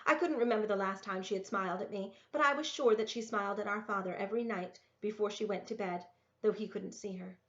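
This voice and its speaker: A woman reading a story aloud in an expressive storybook narration style. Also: medium pitch, quick pace. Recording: roomy, echoey, dull lo-fi sound.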